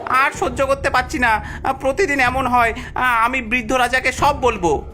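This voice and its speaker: A woman's voice: sits high, fairly even intonation, moderate pace, music in the background, close to an everyday voice. A woman voicing a mischievous, greedy young goatherd boy who schemes and whines, in a cartoon fairy tale. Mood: whiny frustration. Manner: scheming, whining, complaining.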